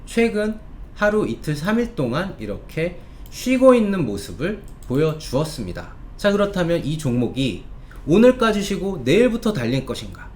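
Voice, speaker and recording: Lively, higher-pitched male stock show presenter. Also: a little hiss or hum. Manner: polite, explanatory, stretches key words for emphasis. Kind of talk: presenter-style stock market commentary with sales pitch.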